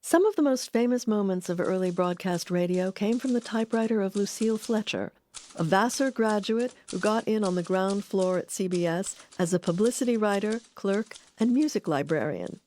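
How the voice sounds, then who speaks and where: A woman reading scripted documentary narration in a measured, articulate manner. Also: medium pitch, a little mellow, slight background noise.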